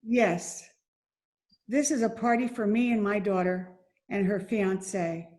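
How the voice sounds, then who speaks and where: A woman acting as a proud, worn-down mother in an old radio play. Her medium-pitched voice is earnest and resigned, coming in short halting phrases. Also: darkish tone, lightly acted. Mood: resigned, confessing.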